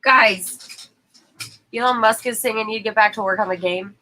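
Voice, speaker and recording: A woman's voice: fairly high pitch, moderate pace, pushed and bright, slight background noise, poor audio. A woman hosting a livestream, stuffy and sniffling from allergies, chatting casually and laughing often as she talks into her phone. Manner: chatty, laughs often, apologetic about tech trouble. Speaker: livestream host. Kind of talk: casual chat. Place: indoor studio.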